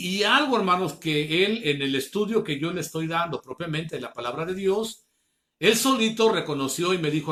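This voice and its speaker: A man, preaching an online Bible class, earnestly calling his listeners brothers and slowing down to read scripture. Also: on the high side, fairly bright tone. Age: 50s.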